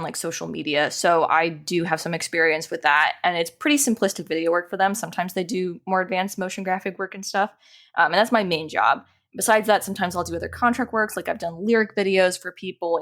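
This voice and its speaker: Casual, chatty woman with a lowish voice. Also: a bit bright. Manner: chatty, informal, self-deprecating, often laughing. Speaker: podcast guest, animator and dungeon master. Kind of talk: casual interview chat.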